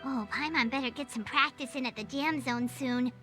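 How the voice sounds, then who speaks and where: A woman voicing a small, excitable fairy companion in a video game, with a squeaky childlike voice and a feisty way of talking. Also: normal speed, soft background music. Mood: eager. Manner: speaks of self in third person.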